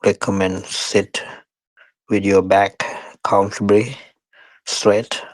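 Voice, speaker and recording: Slow, low-pitched man guiding meditation. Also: level tone, no echo, rough recording. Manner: unhurried, short phrases with pauses; non-standard English grammar. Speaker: meditation teacher. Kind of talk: guided meditation instruction.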